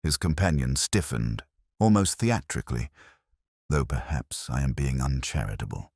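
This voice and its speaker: A man with an extremely deep voice narrates a literary mystery in the first person. His delivery is measured and brooding, turned inward like private reflection. The sound is very dry, with no room around the voice. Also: animated, creaky, a little mellow, no room sound.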